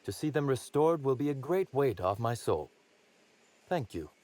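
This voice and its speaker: An actor playing a wry, polished boss of a secretive outfit in a video game, speaking with formal courtesy. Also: male voice, mid-range voice, sing-song, normal speed, a little mellow, lightly acted. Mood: pleased, courteous. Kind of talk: conversational video game acting.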